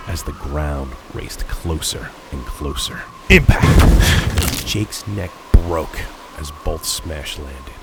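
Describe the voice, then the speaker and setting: A man's voice: low voice, vocal fry. A man reading a scripted horror story as narrator, with dramatic storytelling delivery. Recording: steady background noise of rain ambience.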